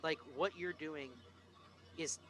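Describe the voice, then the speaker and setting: A man's voice: a little high, fairly even intonation, takes it slowly, fairly bright tone. A man hosting a casual film discussion, speaking in an emphatic, opinionated way and swearing freely. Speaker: podcast host.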